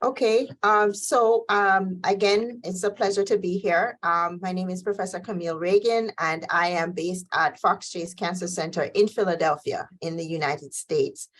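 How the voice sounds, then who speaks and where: A middle-aged woman answers interview questions as a guest scientist. Her voice sits on the low side, and she pauses often with ums and uhs as she builds her points. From Jamaica. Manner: measured, with frequent um and uh fillers.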